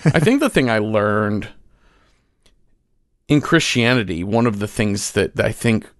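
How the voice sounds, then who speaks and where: A man in a casual, reflective interview as a guest, talking in a halting way as he thinks aloud. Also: fairly low pitch, animated, dry recording, clean studio sound. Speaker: podcast guest, fiction writer.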